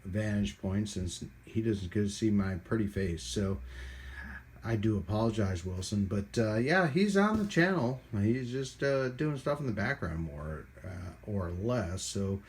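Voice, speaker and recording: A man giving a casual hands-on product demonstration as a channel host, rambling and pausing often as he goes. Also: on the low side, animated. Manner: halting, rambling delivery with frequent pauses. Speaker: host of a radio hobby channel. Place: indoors at a hobby workbench.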